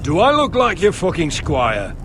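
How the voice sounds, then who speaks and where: An actor playing a gruff, blunt senior officer of a sworn watch in a video game drama. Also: male voice, on the high side, huge pitch swings, moderate pace, fairly bright tone, a little hiss or hum. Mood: brusque.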